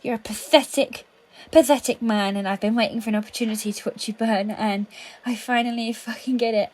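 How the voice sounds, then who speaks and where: An actress playing a guilt-ridden, vengeful police colleague hiding a crime, emotional and halting, in an audio drama. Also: female voice, fairly high pitch, steady tone, darkish tone, close to an everyday voice. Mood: bitter, vengeful.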